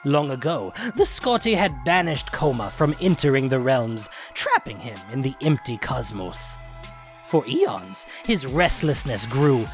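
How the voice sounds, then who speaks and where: A gleefully wicked devil storyteller, played by a man, recounts a fantasy saga over music. The delivery swoops widely, sly and grandiose, lingering on choice words with theatrical pauses. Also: a little high. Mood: sly, mock-sympathetic.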